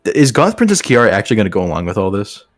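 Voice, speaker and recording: Low-voiced man riffing on fan fiction, echoey. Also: sing-song, rapid-fire. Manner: amused, incredulous. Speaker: commentator reading fan fiction. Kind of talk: mock dramatic reading with casual banter.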